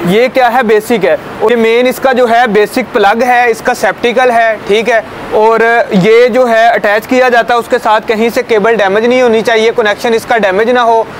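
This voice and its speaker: High-voiced young man training outdoors. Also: fairly even intonation, normal speed, few pauses, slight background noise. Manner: explanatory, checks understanding with "ठीक है" and "समझ आई है?". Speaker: safety trainer. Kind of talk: hands-on safety demonstration. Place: outdoors beside a building, small group of trainees. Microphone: camera at a few meters.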